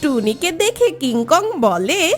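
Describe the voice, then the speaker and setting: A woman's voice: sits high, big pitch swings, slow, faint music behind. A woman narrating a scripted children's cartoon story.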